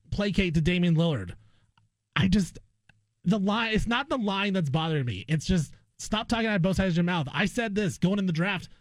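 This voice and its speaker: High-pitched man arguing sports on air. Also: no echo. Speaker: sports radio co-host. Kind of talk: opinionated sports talk debate.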